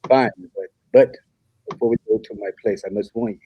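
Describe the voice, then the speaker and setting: A man in a comedy table read playing an apologetic, flustered suitor who stammers and fibs, very polite and halting. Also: on the low side, steady tone, slightly rough, dry recording, highs cut off. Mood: sheepish warning. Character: apologetic, flustered man, nervously fibbing to impress his date.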